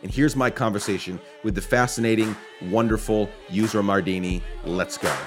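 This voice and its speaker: A man's voice: on the low side, moderate pace, music in the background. A man hosting a podcast, enthusiastic and effusive, often stumbling and restarting his sentences. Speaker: podcast host. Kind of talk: casual podcast interview. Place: Nashville.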